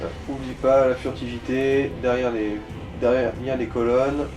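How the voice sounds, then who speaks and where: A man's voice: mid-range voice, level tone, unhurried, over music. A man giving casual let's play commentary as he guides the player, in slangy, crude language full of swearing. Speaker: gaming co-commentator guiding the player.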